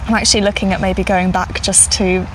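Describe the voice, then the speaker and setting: A woman talking as an interview guest in a friendly, relaxed conversational way. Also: medium pitch, brisk, slight background noise, low-quality recording. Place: outdoors in a garden.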